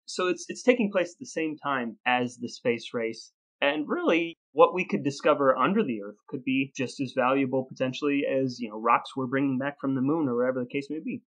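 Man, podcast host, mid-pitched voice, deliberate and playfully grandiose, storytelling style. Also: fairly bright tone, poor audio. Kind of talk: scripted storytelling and conversational explaining.

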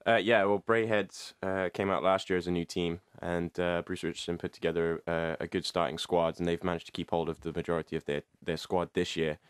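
A hockey player in his twenties who grew up in England, giving steady, matter-of-fact interview answers. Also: low voice, brisk, hardly any pauses, clean tone, dry recording, clean studio sound.

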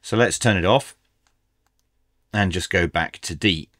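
Low-voiced man presenting a tech review. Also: unhurried, a bit bright, no echo, studio quality. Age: middle-aged. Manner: methodical, explanatory. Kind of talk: instructional product review narration.

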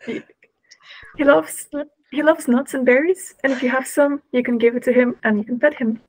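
A woman's voice: sits high, normal speed, a little mellow, no echo, low-quality recording. A woman playing in a tabletop roleplaying game over a video call, chatting casually in and out of character.

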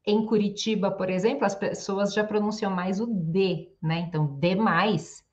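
Slow, patient São Paulo woman teacher. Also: medium pitch, steady tone. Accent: São Paulo Brazilian Portuguese. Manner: patient, repeats each sound slowly with tag questions. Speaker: Portuguese teacher. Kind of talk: online pronunciation lesson. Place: home room, indoors.

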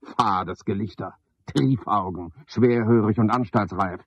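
An actor playing a pedantic, misanthropic night porter in a radio drama, a man with a husky, gravelly voice and a pompous, sardonic manner. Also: on the low side, a little slow. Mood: scornful, ranting. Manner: pompous, sardonic, ornate wording. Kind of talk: 1970s German radio drama monologue. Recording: dull, dry 1970s radio recording.

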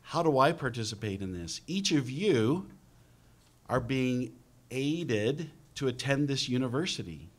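A man's voice: mid-range voice, expressive, measured pace. A middle-aged man speaking as a panelist in a university lecture room, earnest and thinking aloud, into a table microphone. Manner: earnest, repeats words while thinking aloud. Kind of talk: panel discussion. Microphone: table mic on stand.

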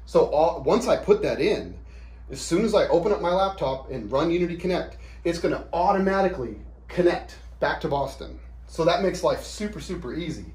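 A man's voice: on the high side, expressive, a little mellow. A man in his thirties giving an informal whiteboard explainer in a casual, plain-spoken, conversational way. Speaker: product demonstrator. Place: small indoor office room. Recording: roomy, echoey quick camera shoot.

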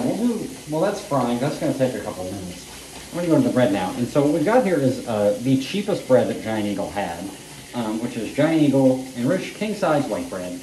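A man's voice: mid-range voice, dark tone. A man casually narrating while he cooks in a small home kitchen, rambling and self-deprecating, recorded on a camera set up across the room with frying sizzling behind him. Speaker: home cooking vlogger. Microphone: camera mic set up across the kitchen. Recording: echoey kitchen, faint frying noise.

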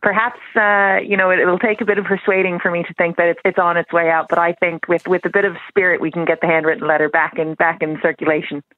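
A woman's voice: lowish voice, flat delivery, fast, few pauses, no room sound. A woman chatting as an interview guest on the radio over the telephone. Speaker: guest, letter collector. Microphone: phone. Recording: thin telephone line.

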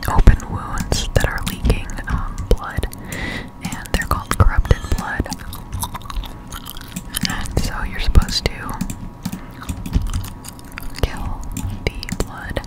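A young woman speaks entirely in a soft, breathy whisper. She is doing ASMR gameplay commentary right up at the mic, explaining things casually and haltingly with plenty of slang. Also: low-quality recording. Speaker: gaming ASMR streamer. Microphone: close-up desktop condenser microphone.

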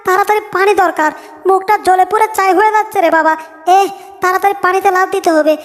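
Squeaky, extremely high little cartoon mouse. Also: female voice, steady tone, fast talker. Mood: panicked. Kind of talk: Bengali cartoon dub comedy. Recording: long hall-like reverb.

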